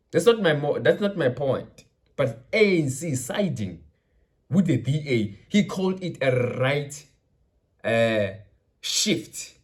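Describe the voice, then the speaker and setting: A South African man from KwaZulu-Natal, a Zulu speaker, hosting his channel with loose, rambling political commentary that mixes in Zulu phrases. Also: fairly high pitch. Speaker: channel host. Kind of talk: casual political commentary vlog.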